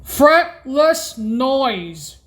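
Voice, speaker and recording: A woman presenting a halting instructional demonstration, hesitant and often correcting and repeating herself. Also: sits high, sing-song, loud music behind the voice. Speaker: music practice presenter.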